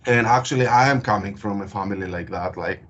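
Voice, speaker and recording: A man with a Greek accent explains his work in a low voice, pausing often with hesitations as he thinks. The call audio is dull and lo-fi. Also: fairly bright tone. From Greece. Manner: frequent hesitations, thoughtful and explanatory. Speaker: guest researcher. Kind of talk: interview over a call.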